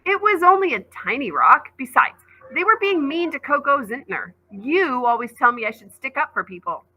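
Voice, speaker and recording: A defiant young schoolgirl from a children's storybook, played by a woman reading aloud. She is stubborn and indignant, firing off curt retorts and grumbles in a bright, forceful voice. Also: high-pitched, big pitch swings, moderate pace, pitched above the performer's own voice, exaggerated voice, low-quality recording.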